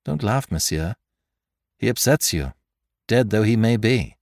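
A man reading aloud and voicing an excitable young male amateur detective who shouts with wonder and admiration. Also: on the low side, unhurried, creaky, dry recording, clean studio sound. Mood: excited. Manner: feverish, exclamatory, admiring.

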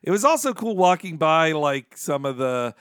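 Guy podcast host just chatting away, kinda high voice, smooth n clear, explains stuff. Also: big pitch swings, normal speed, fairly bright tone, no echo, clean studio sound. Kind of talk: casual fan chat.

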